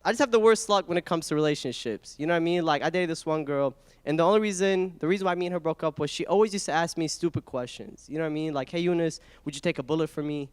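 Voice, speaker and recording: A man's voice: a little high, quick pace, hardly any pauses, smooth. A young man from Iraq telling casual, slangy stories as a comedian guest, speaking into a handheld microphone on an indoor stage. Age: young adult. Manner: informal, slangy, animated storytelling. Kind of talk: casual spoken anecdotes before an audience. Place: indoor event hall stage, small live audience. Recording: echoey hall through handheld microphone.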